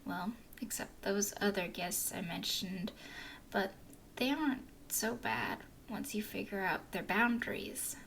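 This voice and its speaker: A woman voicing a flirty, teasing female werewolf explorer in an ASMR roleplay, speaking in a soft whisper with a playful drawl. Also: mid-range voice, unhurried, gravelly, a little hiss or hum.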